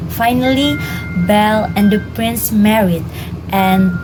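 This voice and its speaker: A young woman narrates a scripted fairy tale slowly, in a mid-pitched voice. Clear music accompanies her student storytelling. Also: rough recording.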